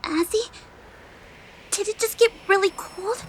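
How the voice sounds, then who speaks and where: A woman's voice: extremely high pitch, measured pace, slight background noise. A woman voicing a childish, impatient young girl deity in an audio drama, whiny and insistent. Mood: puzzled. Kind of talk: audio drama character acting. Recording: music bed behind the voice.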